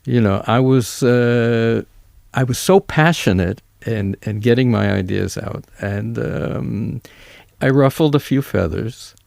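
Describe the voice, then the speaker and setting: A man with an American accent telling anecdotes casually as an interview guest, in halting phrases that often restart. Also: medium pitch, vocal fry, a little mellow, dry recording, studio quality. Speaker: musician and music educator, interview guest.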